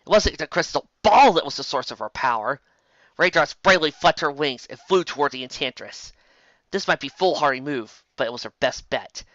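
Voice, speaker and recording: A man reading fantasy fiction aloud as an expressive amateur storyteller, now and then stumbling over a word. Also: fairly high pitch, a little fast, no room sound, highs cut off. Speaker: story narrator.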